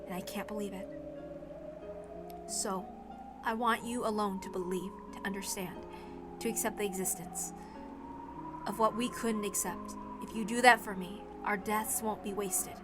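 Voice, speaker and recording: A woman voicing a solemn dying mother saying farewell in a quiet, halting, earnest way, in a dramatic reading. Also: mid-range voice, steady tone, music in the background, pitched below the performer's own voice, natural voice. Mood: earnest, pleading.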